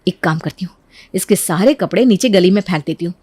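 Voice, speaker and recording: A woman's voice: on the low side, animated, fairly quick, dark tone, breathy edge, dry recording, pitched below the performer's own voice. A woman voicing a resentful elder sister-in-law, bitter and scheming, in a cartoon family story. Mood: vengeful, scheming. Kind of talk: animated family story dialogue.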